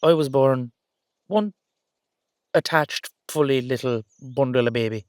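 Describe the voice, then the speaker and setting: A man's voice: medium pitch, a little slow, a little mellow, smooth, no room sound, studio quality, close to an everyday voice. A man playing a playful, affectionate young-looking Dullahan boyfriend with an Irish accent, rambling and teasing in a roleplay audio. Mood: bashful, explaining.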